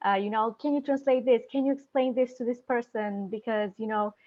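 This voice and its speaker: A woman working in procurement, speaking as an interview guest in a thoughtful, halting way with many fillers. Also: a little high, steady tone, lo-fi sound. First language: not Spanish; speaks several languages. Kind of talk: career interview. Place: San Diego area.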